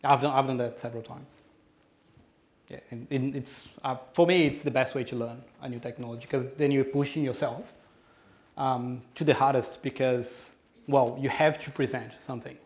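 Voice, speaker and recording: A man's voice: mid-range voice, fairly even intonation, normal speed. Brazilian-accented man fielding audience questions. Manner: hesitant, many restarts and ums. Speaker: conference speaker. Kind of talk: Q&A after a conference talk. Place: conference room.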